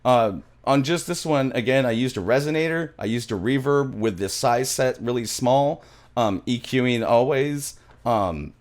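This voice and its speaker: A man's voice: medium pitch, a little slow. A middle-aged man giving a casual music production tutorial, chatting enthusiastically and interrupting himself as he goes, close on a studio mic. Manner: rambling, enthusiastic, self-interrupting. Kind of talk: casual screen-share tutorial chat. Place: small indoor home studio. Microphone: close studio microphone, headphones on.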